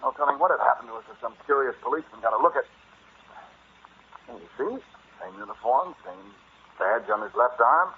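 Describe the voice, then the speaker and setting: A man's voice: mid-range voice, lively intonation, fairly quick, long pauses, slightly rough. An actor in an old-time radio drama playing a flippant, stubborn explorer husband who hides his fear, telling his tale in a wry, conversational way. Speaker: narrator and lead actor. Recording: muffled, noisy vintage radio recording.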